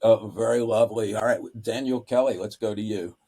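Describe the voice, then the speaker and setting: A man's voice: on the low side, brisk, warm tone. A man moderating a panel in a courteous, formal but unscripted way, choosing his words with care.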